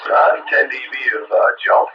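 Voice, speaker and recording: A man's voice: medium pitch, expressive, moderate pace. A man chatting casually over a two-way CB radio, explaining technical matters with hesitant phrasing. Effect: two-way radio transmission. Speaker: radio hobbyist. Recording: dull, noisy CB radio reception. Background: radio static.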